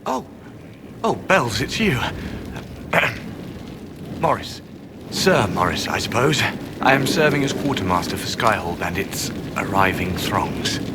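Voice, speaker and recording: A man's voice: on the low side, sing-song, measured pace, pauses often, background noise. An actor playing a fussy, officious, diplomatic male quartermaster in a fantasy video game, with a slightly hesitant manner. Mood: flustered, officious.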